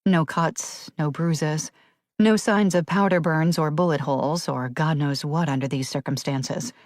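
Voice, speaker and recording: Low-voiced woman narrating a thriller audiobook. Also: fairly even intonation, unhurried, a little mellow, no echo, clean studio sound. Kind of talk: scripted dramatic thriller narration.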